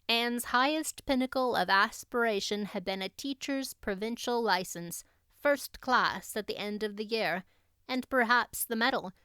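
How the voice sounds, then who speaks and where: A woman's voice: a little high, a bit bright, smooth, no echo, studio quality. A woman audiobook narrator reading a classic novel expressively.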